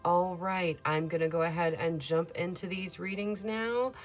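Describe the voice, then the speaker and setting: A woman tarot reader with a scratchy voice, giving a calm, measured, soothing reading. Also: fairly low pitch, faint music behind. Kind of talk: gentle tarot reading monologue.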